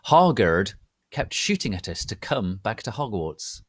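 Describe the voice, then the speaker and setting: A man's voice: lowish voice, big pitch swings, measured pace, no room sound, clean studio sound. A man voicing a melodramatic, bratty goth teenage girl who narrates her story in a theatrical, petulant, emphatic way. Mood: gushing, haughty. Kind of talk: dramatic reading of amateur fan fiction.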